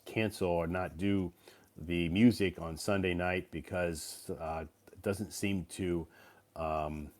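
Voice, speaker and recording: Male live stream host, low voice, rambling and filler-heavy, slightly worn from a recent cold. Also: a little mellow. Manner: rambling, many fillers and restarts. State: recovering from a cold. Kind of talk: casual live stream chat.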